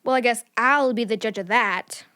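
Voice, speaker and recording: An actress voicing a spirited, curious young girl with a direct, expressive manner in a children's audio drama. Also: mid-range voice, normal speed, smooth, lightly acted. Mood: friendly, wry.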